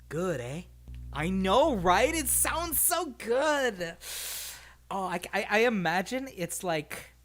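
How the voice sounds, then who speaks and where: A read-along streamer with a medium-pitched voice. The delivery is expressive storybook narration, informal and unpolished, as on a live stream.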